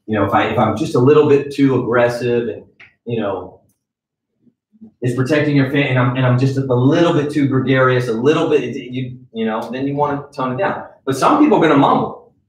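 A man in his forties leading a live sales training in a conference room, with direct, animated coaching and frequent check-ins. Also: medium pitch, a little fast, a little hiss or hum. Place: conference room with a wall screen. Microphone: far camera. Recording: echoey room from far off.

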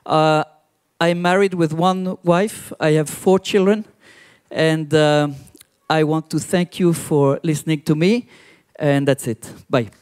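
Older male conference speaker, high voice, emphatic delivery, handheld mic on a large stage. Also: fairly even intonation, takes it slowly, darkish tone, smooth. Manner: emphatic, repeats key words. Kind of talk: closing remarks of a talk.

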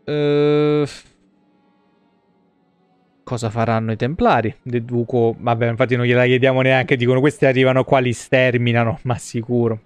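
A man's voice: mid-range voice, little pitch movement, normal speed, smooth, no echo, faint music behind. A man in his thirties with an Italian accent doing casual, colloquial live gameplay commentary, swearing now and then. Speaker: video game streamer. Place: small indoor room.

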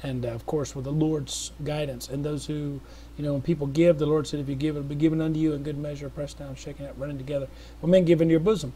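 A man's voice: on the high side, fairly even intonation, quick pace, warm tone, slight background noise, low-quality recording. A middle-aged man speaking casually to viewers in an earnest, rambling way, with many restarts and fillers. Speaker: missionary making an appeal video. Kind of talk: casual spoken appeal to viewers.

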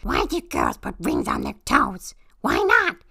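A woman putting on a raspy, strained, squawky cartoon creature voice to read out a script, playing every part. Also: mid-range voice, huge pitch swings, measured pace, sharp tone. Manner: exaggerated, cartoonish. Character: Toad, small squawky excitable cartoon mushroom creature. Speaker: performer reading a film script aloud. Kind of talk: character reading in a put-on voice, playing every part.

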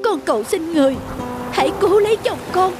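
Devoted young village wife, cartoon folktale; high, emotional, imploring voice over music. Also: female voice, animated, fast. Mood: pleading. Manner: pleading, emotional, respectful. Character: devoted young fisherman's wife, anxious and pleading. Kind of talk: animated folktale dubbing.

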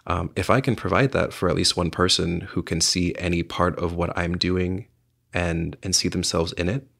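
A man's voice: low-pitched, gravelly, a bit bright. A young man from south Florida, a writer and visual artist, answering podcast questions in a reflective, hesitant way with many fillers. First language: Spanish. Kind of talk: podcast interview.

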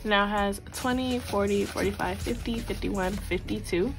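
A woman's voice: mid-range voice, moderate pace, over music, low-quality recording. A woman with a sore throat, casually chatting as she counts cash aloud for her budgeting videos at home. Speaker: budgeting channel host. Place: tabletop at home. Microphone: overhead camera, hands only.

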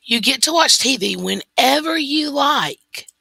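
A woman reading as a calm father. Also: sits high, unhurried, vocal fry, dry recording, pitched above the performer's own voice, full character voice. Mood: reasonable. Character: boy's patient father.

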